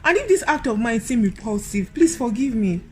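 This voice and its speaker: A woman's voice: on the high side, big pitch swings, normal speed, a little hiss or hum. A woman with a Nigerian accent playing a devoted, anxious, prayerful wife in a radio drama, emotive and repeating her words. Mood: apologetic, soothing.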